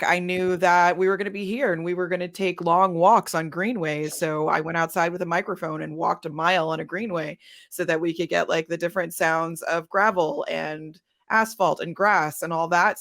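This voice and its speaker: A woman's voice: fairly low pitch, steady tone, brisk, vocal fry, few pauses, a bit bright. A woman speaking casually and enthusiastically as a podcaster on a panel, peppering her talk with "like". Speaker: panelist, audio drama podcaster.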